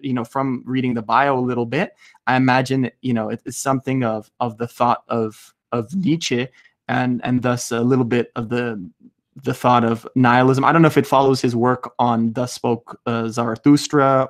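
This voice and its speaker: A man's voice: mid-range voice, little pitch movement, normal speed. A young man hosting a podcast over a video call from a small room at home, talking thoughtfully with frequent restarts and fillers. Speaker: podcast host. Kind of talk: casual video-call interview. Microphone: studio mic with headphones.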